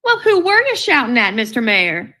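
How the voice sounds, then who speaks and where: An actress playing a polite, placid, literal-minded housewife in old-time radio comedy, courteous and innocently matter-of-fact. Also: female voice, on the high side, huge pitch swings, normal speed, pushed and bright, exaggerated voice. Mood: innocently helpful.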